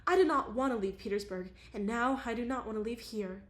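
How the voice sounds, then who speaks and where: A woman reading as a tearful, excitable society lady. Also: fairly high pitch, a little fast, close to an everyday voice. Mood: agitated.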